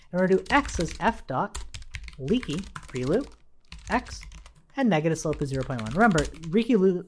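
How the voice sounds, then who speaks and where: A man giving an informal coding tutorial, explaining step by step in a conversational way and often checking in with a quick "right?". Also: on the high side, slight background noise. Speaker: programming instructor.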